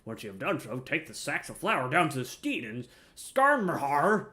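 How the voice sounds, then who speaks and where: A blustering, impatient miller from a fantasy game, played by a man, declaims grandly about the trade. The voice is bright and forceful, swinging up and down in pitch. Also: huge pitch swings, moderate pace, exaggerated voice. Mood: exasperated. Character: blustering, impatient miller obsessed with his craft. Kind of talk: theatrical fantasy game quest dialogue.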